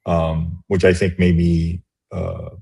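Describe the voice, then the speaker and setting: A middle-aged man, born in Romania and raised in the US, speaking as an interview guest in a reflective, halting way with many restarts. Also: sits low, level tone, mellow, slightly rough. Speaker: guest, business executive. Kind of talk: casual interview conversation.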